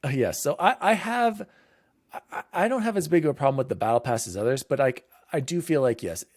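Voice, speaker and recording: A man's voice: a little high, animated, brisk, a little mellow, no echo, clean studio sound. A man hosting a casual gaming podcast, talking in rambling bursts with frequent restarts. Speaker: podcast host.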